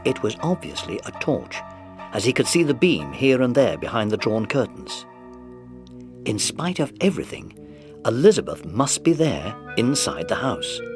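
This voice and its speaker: A man's voice: medium pitch, sing-song, normal speed. A man narrating a children's mystery story from a script. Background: music.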